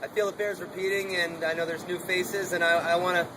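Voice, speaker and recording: A man's voice: high voice, level tone, brisk. A man stepping up to speak at an outdoor protest gathering, nervous and stammering, often restarting his sentences. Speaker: protester at an open-mic speech. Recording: noisy outdoor recording.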